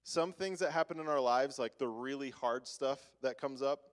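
A man's voice: mid-range voice, moderate pace. A young man on a church stage giving chatty announcements full of "like" and small laughs, then a short devotional. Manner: chatty, laughing, many "like" fillers. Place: church stage, large room.

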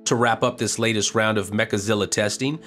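A man reading a scripted news narration in an even, measured reporting tone as a voice-over. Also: fairly low pitch, faint music behind.

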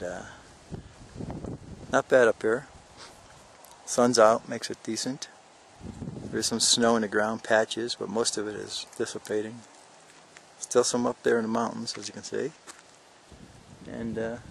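Gravelly older man rambling on a trail. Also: on the low side, steady tone, unhurried, pauses often, a little hiss or hum, rough recording. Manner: rambling, joking, many fillers. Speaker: hiker filming a vlog. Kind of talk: casual narration while hiking. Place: outdoors on a mountain trail, windy.

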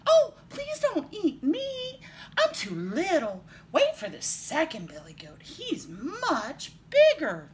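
A woman's voice: extremely high pitch, huge pitch swings, takes it slowly, a little hiss or hum, pitched above the performer's own voice, heavily acted. A woman voicing a tiny, timid young male billy goat from a children's storybook, in a very small voice. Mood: pleading.

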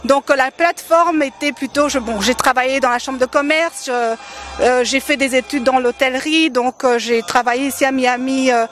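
A woman from Congo in her thirties talks through an interview, her voice sitting a little high. She stops often on fillers and lets small laughs slip between phrases. She speaks into a handheld mic held close by the interviewer. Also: moderate pace, fairly bright tone, soft background music. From Democratic Republic of the Congo. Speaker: interview guest, event organizer. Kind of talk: casual interview answers. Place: decorated holiday light display, indoors.

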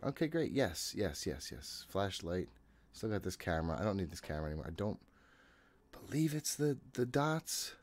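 A man's voice: low voice, sing-song, normal speed. A man in his thirties giving casual, jittery and exasperated commentary while streaming a horror game from a room at home. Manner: jittery, exasperated self-talk; frequent swearing. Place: small home room, indoors. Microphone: desk microphone, headset worn.

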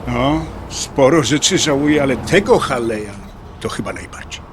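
An actor playing a middle-aged motorcyclist, an anxious, joking atheist who talks in a blunt, colloquial way in an audio drama. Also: male voice, mid-range voice, expressive, moderate pace, noisy background, close to an everyday voice. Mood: regretful, worried. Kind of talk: dialogue acting in an audio drama.

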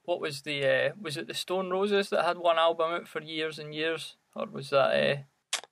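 A man's voice: a little high, fairly even intonation, normal speed, creaky, a bit bright, no room sound. A Scottish man co-hosting a podcast, chatting casually in a hesitant, reflective way. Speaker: podcast co-host.

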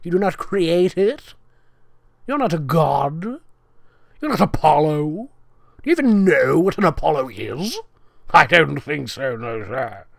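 A man's voice: on the high side, very animated, a little slow, vocal fry, long pauses, no room sound. A man giving a comedic dramatic reading, with sarcastic, nitpicking asides as the host. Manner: sarcastic, nitpicking, breaking into giggles. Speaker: host reading fan fiction aloud.

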